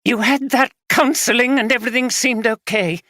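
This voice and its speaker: A reader voicing Christine, an angry, slightly slurring middle-aged wife, in an audiobook. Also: female voice, a little high, normal speed, fairly bright tone, no room sound, clean studio sound, pitched above the performer's own voice, natural voice. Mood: bitter, furious. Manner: bitter, contemptuous, slurring slightly. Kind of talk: audiobook character reading.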